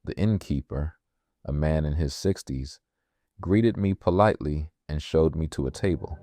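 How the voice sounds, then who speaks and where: A man narrating a scripted first-person horror story in a measured, ominous way, with music behind him. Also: a bass voice, warm tone. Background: music bed.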